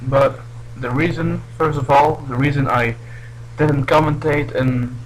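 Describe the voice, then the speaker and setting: A man's voice: a little high, fairly even intonation, a little slow, slight background noise, low-quality recording. A young man from Belgium, not a native English speaker, giving casual, hesitant let's play commentary. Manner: hesitant, restarts often. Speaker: gameplay commentator.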